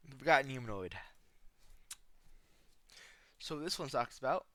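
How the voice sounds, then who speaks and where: A man hosts a casual reaction video in a bright, punchy voice at an average male pitch. He comments in a flippant, sarcastic way, tossing in slang and joking asides as he goes. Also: expressive, unhurried, no room sound. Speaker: video host reacting to analog horror.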